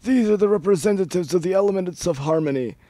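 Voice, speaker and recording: A man's voice: high-pitched, fast talker, warm tone, no room sound, pitched above the performer's own voice, lightly acted. A man voicing a shriveled old American pony in a dramatic story reading. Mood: matter-of-fact. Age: older. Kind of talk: amateur dramatic reading of fan fiction.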